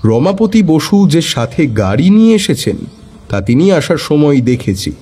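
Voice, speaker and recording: A man's voice: on the high side, sing-song, measured pace, warm tone, slightly rough, heavily acted. A man narrating as a wry storyteller companion. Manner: wry, dryly humorous. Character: wry male companion narrating in first person. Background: faint background music.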